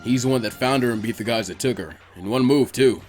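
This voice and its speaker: A man voicing a gruff, weary, laid-back ninja mentor in a dramatic story reading, with dry, low-key muttering. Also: quick pace, a little mellow, faint music behind, close to an everyday voice. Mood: calm, frank. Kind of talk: dramatic fan fiction character reading.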